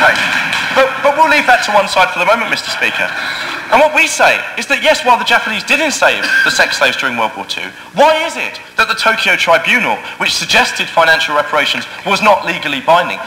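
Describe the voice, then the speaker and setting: A man giving a formal competitive debate speech, animated, with dry, sharp-tongued wit. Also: sits high. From England. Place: debating chamber of a parliament building. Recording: echoey hall recording.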